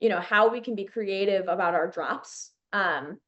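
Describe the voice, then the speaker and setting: A woman's voice: mid-range voice, normal speed, a bit bright, lo-fi sound. A woman in her thirties with a Chicago accent, a company founder talking as a guest in an interview, chatty and enthusiastic with many fillers and restarts. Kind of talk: casual interview chat.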